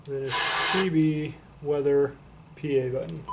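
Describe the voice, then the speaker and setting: A man's voice: on the high side, fairly even intonation, a little slow. A man giving a casual, unscripted product demonstration in a matter-of-fact, explanatory way. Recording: noticeable background noise.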